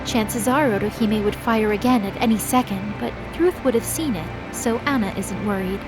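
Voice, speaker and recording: A woman's voice: medium pitch, moderate pace, close to an everyday voice, low-quality recording. A woman delivering dramatic scripted narration as the narrator of an audio drama. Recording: loud dramatic music behind the voice.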